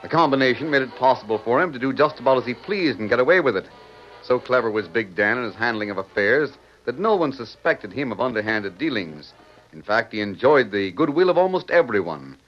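A man's voice: medium pitch, animated, fairly quick, faint music behind. An American man reading scripted narration for an old-time radio Western. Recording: muffled old radio recording.

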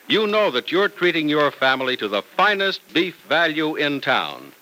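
A man announcing in a polished, emphatic 1950s radio style, as narrator and pitchman. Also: bright, forceful tone, rough recording.

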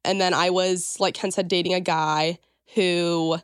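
A woman guest on a podcast with a slightly raspy voice, chatty and full of "like" fillers. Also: on the low side, level tone, a little slow, no room sound, studio quality. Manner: chatty, filler-heavy, says "like" and "kinda". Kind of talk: casual interview chat.

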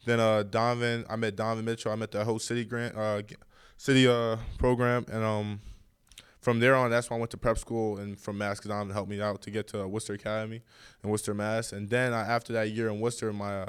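A man's voice: fairly low pitch, level tone, quick pace, clean tone. A young man from New York and New Jersey chatting casually and emphatically as a college basketball player being interviewed on a podcast. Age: young adult.

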